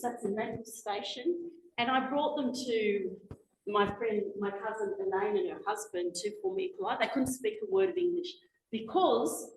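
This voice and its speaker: Guest speaker, Australian woman, 40s: chatty and warm-paced, slightly high voice, echoey room sound. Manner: chatty, animated, quick asides and restarts. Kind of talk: public talk with slides. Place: lecture room, indoors. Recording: echoey lecture room, faint noise.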